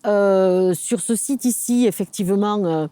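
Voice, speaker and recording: A woman with a soft-edged, mellow voice at a middle pitch explains a local project as an official in an interview. She searches for her words as she goes, pausing and repeating short phrases. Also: no room sound. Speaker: local government sustainable development officer.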